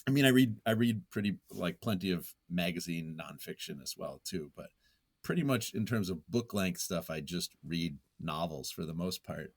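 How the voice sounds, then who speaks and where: Guy with a lowish voice being interviewed on a podcast, casual, kinda hesitant, thinks out loud, modest about himself. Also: animated, normal speed, slightly rough. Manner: hesitant, self-effacing, thinking aloud. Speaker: podcast guest, nonfiction writer. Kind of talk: casual reflective interview talk.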